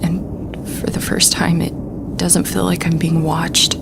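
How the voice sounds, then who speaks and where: A woman playing a frightened, anxious starship captain in an audio drama, confiding a secret log in halting, breathy, repetitive phrases. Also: lowish voice, flat delivery, very noisy. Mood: hushed, wary. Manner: halting, breathy half-whispers, repeating herself. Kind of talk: audio drama monologue, intimate confessional recording. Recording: music behind the voice. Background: music.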